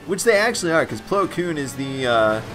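Man, let's play host, raspy stuffed-up voice, excitable, faint game music behind. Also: on the high side, a little fast, poor audio. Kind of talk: gameplay commentary.

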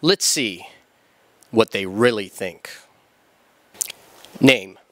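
A young man playing a mock street reporter, firing terse, repetitive deadpan questions into a handheld mic in an indoor church hall. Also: fairly low pitch, very slow. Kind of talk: comedic deadpan interview questions.

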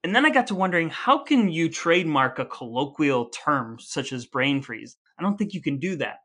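A man's voice: a little high, big pitch swings, normal speed, bright, forceful tone. A man co-hosting a podcast in a conversational, lightly scripted style, with a wry, mock-formal and wordy way of talking. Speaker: podcast co-host.

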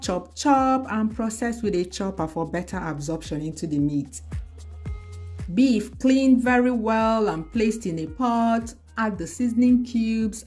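Lady doing a cooking voiceover, mellow warm voice, chatty and practical, faint music behind. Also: medium pitch. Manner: chatty, practical, lightly humorous. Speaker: cooking channel host. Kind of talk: voice-over cooking tutorial. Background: soft background music.

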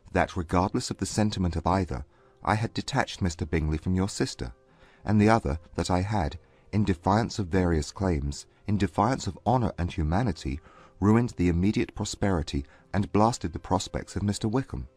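An actor playing a proud, haughty young Regency gentleman in a dramatized audiobook, speaking in a formal, composed, measured way. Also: deep voice, expressive, husky, no room sound. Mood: stiff, wounded pride. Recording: dry close recording with music behind. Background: music.

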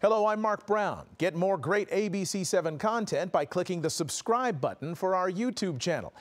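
Man anchoring the news, high voice. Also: animated. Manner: measured, polished delivery. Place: TV studio.

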